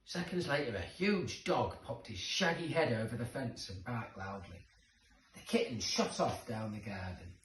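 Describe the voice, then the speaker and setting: A man's voice: mid-range voice, animated, normal speed, a bit bright, a little hiss or hum. A man narrating a children's story expressively, with dramatic emphasis for young listeners. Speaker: storybook reader. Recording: roomy echo, distant microphone.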